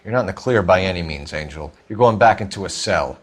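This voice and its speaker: An actor playing a stern young American police detective in a video game, questioning in a clipped, formal, accusatory way. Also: male voice, sits low, animated, quick pace. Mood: pressing. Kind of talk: acted dramatic video game dialogue.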